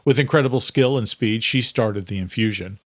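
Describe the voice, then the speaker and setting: A man's voice: lowish voice, moderate pace, fairly bright tone, no room sound. Male dramatic audiobook narrator, dry sound.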